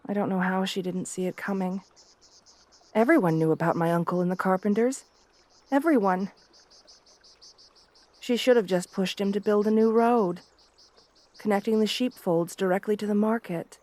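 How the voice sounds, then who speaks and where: A woman playing a shy, gentle, devoted young princess bride in an ASMR roleplay, speaking softly and hesitantly, with deference. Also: medium pitch, normal speed, long pauses, dry recording, natural voice. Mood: earnest, anxious.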